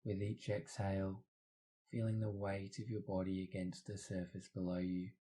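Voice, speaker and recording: A man's voice: low-pitched, completely flat delivery, normal speed, dark tone. A man narrating a scripted guided meditation in a soothing, instructional manner.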